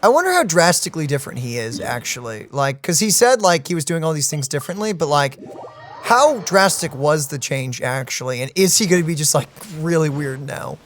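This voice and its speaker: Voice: mid-range voice, big pitch swings, fairly quick, slight background noise. A streamer casually reacting to a video game and musing aloud.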